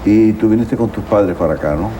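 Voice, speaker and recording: Male interviewer, Cuban accent, low pitch, dark relaxed tone, conversational questions, faint noise. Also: a little fast.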